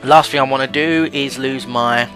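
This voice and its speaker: A man's voice: medium pitch, steady tone, moderate pace, pushed and bright, soft background music. A man hosting a let's play with casual game commentary.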